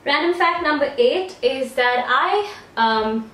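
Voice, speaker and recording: A woman's voice: high voice, animated, takes it slowly, fairly bright tone, far from the mic. A young woman from India chatting casually to camera in a room at home, rambling and self-deprecating. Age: young adult. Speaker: beauty YouTuber. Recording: roomy echo, voice a bit off-mic.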